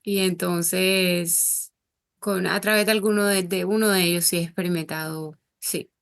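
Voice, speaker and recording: A woman's voice: on the low side, steady tone, a little slow, creaky. A Colombian woman speaking as an interview guest, earnest and reflective as she talks. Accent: Colombian Spanish. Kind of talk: casual interview conversation.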